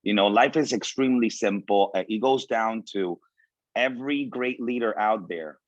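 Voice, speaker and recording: A man of thirty-eight from Venezuela with a lowish voice, speaking as a guest to young people. His native language is Spanish, and he drives his points home by repeating them. The sound is close and dry but somewhat muffled. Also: normal speed, dry recording. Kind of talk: informal motivational talk to students. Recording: dull, lo-fi audio.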